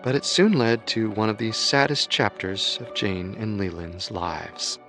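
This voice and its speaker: A man co-hosting a historical radio drama, giving calm, deliberate scripted narration. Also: lowish voice, music in the background. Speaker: narrator and co-host.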